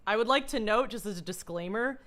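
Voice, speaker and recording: A young woman streamer with a low voice, talking casually and rambling through asides while reacting to a video. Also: a little fast, fairly bright tone. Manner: rambling, self-deprecating, laughs through asides.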